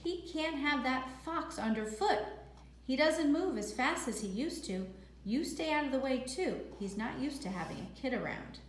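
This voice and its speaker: A man's voice: very high-pitched, expressive, normal speed, voice pushed up. Lady reading as a brusque old grandpa from a kids book, blunt and clipped, roomy echoey sound. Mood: stern. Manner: clipped, blunt, matter-of-fact. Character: gruff, brusque old grandfather, dutiful and curt. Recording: echoey room with faint noise.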